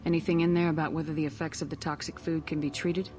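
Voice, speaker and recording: A woman's voice: low voice, flat delivery, fast talker, natural voice. Woman playing a cool, clipped military commander. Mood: cool, questioning. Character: cool-headed female military commander, probing. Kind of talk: scripted video game dialogue. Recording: loud game music behind.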